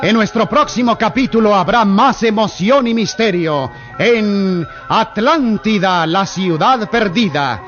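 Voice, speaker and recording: Locutor de radio viejo, hombre, voz aguda y lenta, con música. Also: male voice, high-pitched, expressive, a little slow. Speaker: announcer. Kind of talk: vintage radio announcement. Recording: dull lo-fi old radio recording with music.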